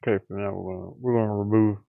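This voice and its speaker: A man's voice: fairly low pitch, fairly even intonation, racing, vocal fry, no room sound, narrow, tinny audio. A man thinking aloud in casual live-stream chat, muttering informally to himself as he works. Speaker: streamer and hobby game developer.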